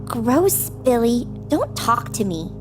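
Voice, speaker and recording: A woman's voice: a little high, sing-song, slow pace, over music. An actress playing a snooty, scornful schoolgirl in an audio drama, talking in a bratty way.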